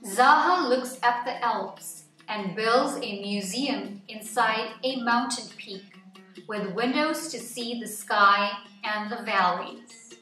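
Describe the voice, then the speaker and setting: A woman reading a children's picture book aloud as scripted narration, with measured, deliberate phrasing. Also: a little high, bright, forceful tone. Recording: roomy echo, distant mic, background music. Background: music.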